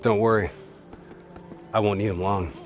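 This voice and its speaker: An actor playing a cynical, intimidating soldier in a video game cutscene, blunt, profane and sardonic. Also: male voice, on the low side, fairly even intonation, normal speed, faint music behind, natural voice. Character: gruff, cynical, intimidating male soldier and interrogator.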